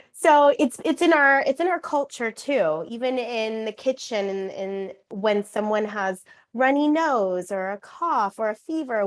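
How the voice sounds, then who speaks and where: A middle-aged woman, an author and acupuncturist whose first language was Korean, explaining her work in an earnest, casual way. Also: medium pitch. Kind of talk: casual interview talk.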